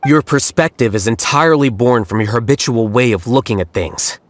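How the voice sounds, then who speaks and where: A man's voice: mid-range voice, expressive, a little fast, slight background noise, close to an everyday voice. A man voicing a detached, analytical scholar. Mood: cool. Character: cool, aloof male scholar, young adult. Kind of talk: game voice acting.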